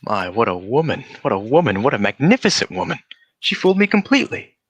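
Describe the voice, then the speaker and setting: A man acting a cerebral, restrained gentleman detective in a radio drama, speaking formally and courteously. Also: medium pitch, very animated, quick pace, husky, dry recording. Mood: admiring, regretful. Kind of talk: adaptation of a 1940s radio drama.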